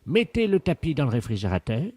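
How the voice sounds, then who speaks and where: A man playing a pompous scientist in a deadpan prank call, speaking solemnly and pedantically with deliberate articulation. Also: mid-range voice, husky, no room sound. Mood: solemn, instructive. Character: pompous male scientist investigating a virus outbreak for radio. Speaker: prank caller. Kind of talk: deadpan prank phone call with hushed radio commentary.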